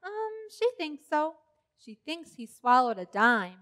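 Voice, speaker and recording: A woman's voice: high voice, big pitch swings, measured pace, a bit bright, heavily acted. A woman voicing a stubborn, chatty little neighbor girl with an insistent, childlike manner in an old-time radio comedy. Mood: contrary, insistent. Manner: insistent, childlike, repeats catchphrases.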